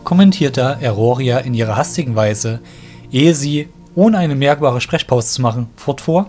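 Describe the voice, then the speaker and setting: A man's voice: mid-range voice, expressive, normal speed, darkish tone, faint music behind, lightly acted. A man reading scripted narration as the storyteller of an audio drama.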